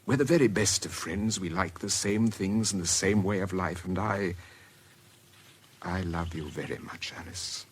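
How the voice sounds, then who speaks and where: A reserved, lonely middle-aged British craftsman in a period radio play. His voice is low, measured and restrained, with an earnest devotion. Some background noise sits behind him. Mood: earnest, yearning. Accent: British English. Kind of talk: period radio drama acting.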